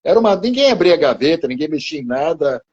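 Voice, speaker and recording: A man in his seventies reminiscing slowly in conversation, halting and restarting his phrases. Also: fairly high pitch, very animated, darkish tone, highs cut off. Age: 70s. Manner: slow, halting, with many restarts. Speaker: guest martial arts teacher.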